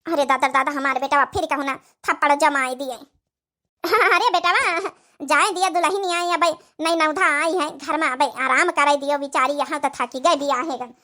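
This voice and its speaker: A woman's voice: high-pitched, steady tone, moderate pace, bright, forceful tone. A woman voicing a patient, doting old village mother-in-law in an Awadhi comedy cartoon, with homely, coaxing, plaintive speech and an Awadhi accent. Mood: soothing, coaxing.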